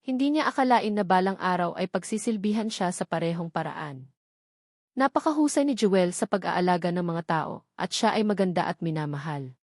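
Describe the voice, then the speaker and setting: A woman's voice: lowish voice, animated, fairly quick, darkish tone, no room sound, clean studio sound. A woman reading a novel aloud as a scripted audiobook narration.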